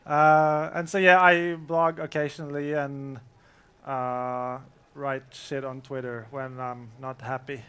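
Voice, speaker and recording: A man presenting at a conference, talking in a relaxed, joking way with many fillers. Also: mid-range voice, takes it slowly, smooth. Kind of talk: casual conference talk. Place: conference room.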